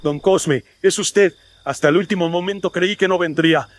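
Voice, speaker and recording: A man voicing a proud, honorable gentleman merchant and father, earnest and formal in old-fashioned horror radio drama style. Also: sits high, normal speed, noisy background, voice pushed up, lightly acted. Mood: earnest, pleading.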